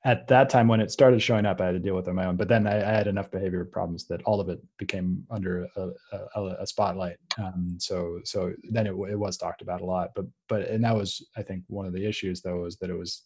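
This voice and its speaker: A man's voice: low-pitched, steady tone, fast talker, hardly any pauses, darkish tone, slightly rough. A young man hosting a podcast, talking casually and rambling, with many restarts and tangents. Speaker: podcast host. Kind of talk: casual conversational interview.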